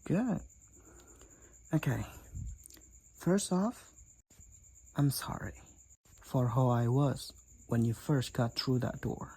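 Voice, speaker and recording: A man's voice: mid-range voice, animated, slow pace, pauses often, dark tone, husky, full character voice, poor audio. A man playing a candid, teasing demon college roommate and hot-headed gamer in a roleplay audio drama, talking bluntly and conversationally to the listener. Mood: apologetic, awkward.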